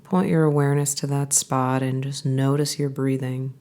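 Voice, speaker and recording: A woman guiding a body scan meditation, giving calm, gentle instructions in an unhurried way with pauses. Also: a bass voice. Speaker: meditation guide.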